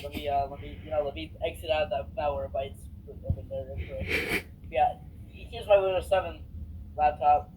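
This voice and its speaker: A man chatting casually and jokingly, playful and halting, often repeating his words as he goes. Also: on the high side, fairly even intonation, a little mellow. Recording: slightly noisy amateur recording.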